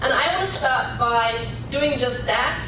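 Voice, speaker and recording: A woman's voice: sits high, lively intonation, a little slow. Woman, conference audience member speaking off the cuff, distant in a noisy hall. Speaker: audience member, contributing writer. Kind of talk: impromptu comment at a conference. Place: large conference room. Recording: echoey hall, distant mic, noisy room.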